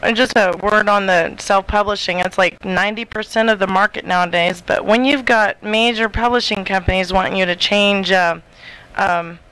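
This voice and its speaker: Woman, bright forceful tone, smooth clear voice, casual radio chat. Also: mid-range voice, steady tone, normal speed, poor audio. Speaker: author, guest on the show.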